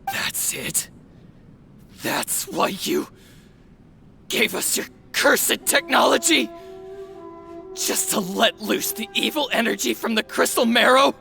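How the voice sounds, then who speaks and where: A defiant young man facing his end, with a gravelly, strained edge. He speaks slowly at a middle pitch. Faint game music runs under the scene. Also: sharp tone, full character voice. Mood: outraged. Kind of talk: game cutscene voice acting.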